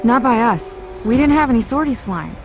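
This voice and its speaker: Firm, earnest military pilot. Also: female voice, fairly high pitch, lively intonation, normal speed.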